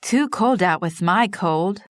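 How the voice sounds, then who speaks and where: A woman's voice: medium pitch, lively intonation, slow, no echo, studio quality. A woman voicing a caring young sister in a storybook reading, in a plain, near-natural voice. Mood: wry.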